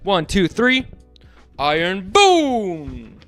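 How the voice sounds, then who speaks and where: A man reads a picture book aloud to kids, playful and animated, stopping to get them to join in. His voice is fairly high for a man and swings up and down a lot. The sound is dry and close, with faint music behind him. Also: drawn-out words, no echo. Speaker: storyteller reading aloud to kids. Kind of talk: children's read-aloud.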